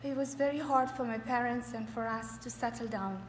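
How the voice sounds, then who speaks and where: A middle-aged woman from Afghanistan shares her testimony in a fairly high voice. She speaks in short, hesitant phrases with frequent pauses. The sound is very echoey, as in a hall, with slight noise. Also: steady tone. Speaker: church member giving testimony.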